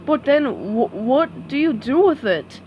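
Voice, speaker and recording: A woman voicing a dim, sweet-natured male starfish in a cartoon storybook, talking in a slow drawl. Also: high voice, very animated, muffled recording, a little hiss or hum. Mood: dopey.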